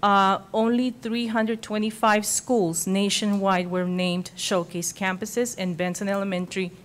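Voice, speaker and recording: A woman's voice: medium pitch, little pitch movement, unhurried. Woman reading formal remarks to a board. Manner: reads prepared remarks, formal. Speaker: school administrator presenter. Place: school board meeting room.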